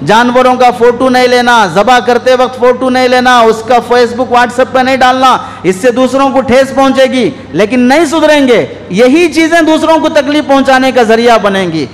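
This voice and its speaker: High-pitched male preacher in an echoey hall. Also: moderate pace, few pauses. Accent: Indian. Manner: scolding, exhorting with rhetorical questions. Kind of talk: sermon. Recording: echoey hall with long reverb.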